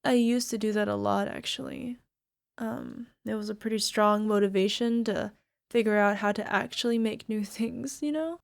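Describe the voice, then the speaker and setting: A woman's voice: medium pitch, steady tone, moderate pace, vocal fry, natural voice. A woman playing a bubbly young alchemist who turns obsessively lovestruck, in a hesitant, giggly ASMR roleplay manner that slips into whispers. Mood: chatty, mildly grumbling. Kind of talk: ASMR girlfriend roleplay audio drama.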